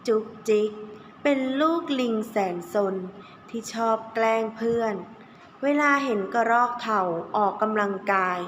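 A woman's voice: a little high, unhurried, fairly bright tone. A woman teacher telling a children's story expressively, stretching key words for young listeners. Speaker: kindergarten teacher telling stories. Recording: echoey room with faint background noise.

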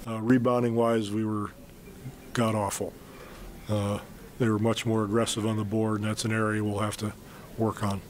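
A man's voice: on the low side, level tone. Older man coaching at a press conference. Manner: measured, plain-spoken, many fillers and restarts. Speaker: basketball head coach. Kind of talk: postgame press conference answers. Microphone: desk mic on stand.

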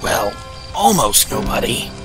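A man's voice: mid-range voice, sing-song, measured pace. A man playing a matter-of-fact, skeptical monster hunter in an amateur audio drama. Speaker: voice actor. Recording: loud music over the voice.

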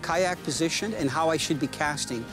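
A man's voice: fairly high pitch, moderate pace, loud music behind the voice. Older man explaining fishing on a lake. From Canada. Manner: relaxed and explanatory, easily excited by a catch. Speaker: fishing show host. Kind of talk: casual outdoor fishing commentary. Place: outdoors on a lake in a kayak.

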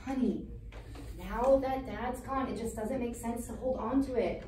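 Actress as insistent big sister, high-voiced, echoey room. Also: female voice, a little slow, dark tone, natural voice. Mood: persuasive, firm. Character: controlling, practical elder sister, defensive and insistent. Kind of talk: dramatic stage-style family dialogue acting. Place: echoey room, recorded from a distance. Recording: roomy, distant mic with faint noise.

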